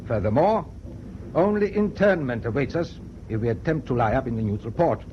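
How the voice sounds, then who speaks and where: An actor playing a stern, proud German naval captain in a radio drama, speaking in a clipped, formal, authoritative way. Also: male voice, medium pitch, animated, fairly quick, lightly acted. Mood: grave, resolute. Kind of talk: BBC radio drama acting. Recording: dull, noisy old radio broadcast tape.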